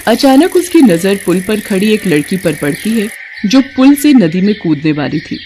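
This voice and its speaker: A woman narrator tells a scripted moral tale. Her voice is mid-pitched and she keeps to a storytelling delivery. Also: big pitch swings, moderate pace, warm tone, a little hiss or hum.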